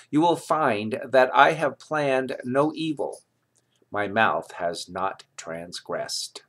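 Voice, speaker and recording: A man reading scripture aloud in a solemn, deliberate manner, with measured phrases and pauses. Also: fairly low pitch, a bit bright. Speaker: scripture reader.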